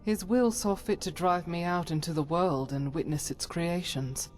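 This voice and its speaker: A woman's voice: fairly low pitch, moderate pace, faint music behind, close to an everyday voice. A woman voicing a solemn, devout adventurer companion in a fantasy game, speaking earnestly in formal, archaic phrasing. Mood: reverent, earnest. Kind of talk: scripted fantasy game dialogue.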